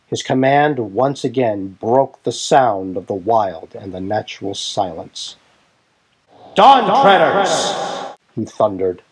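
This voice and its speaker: A man reading a fan-fiction story aloud as narrator, in a dramatic, deliberate storytelling manner. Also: medium pitch, darkish tone, low-quality recording.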